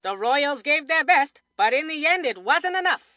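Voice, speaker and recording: Folksy old timey cartoon baseball announcer geezer, high sharp voice, video game commentary. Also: lively intonation, moderate pace, dry recording, clean studio sound. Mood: sympathetic. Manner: folksy, old-timey phrasing, addressing the listener as sonny. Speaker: sports commentator.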